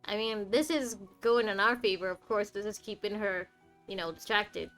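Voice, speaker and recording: Female streamer, mid pitch, casual live gameplay commentary, chatty, reactive, faint game music. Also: moderate pace, a bit bright.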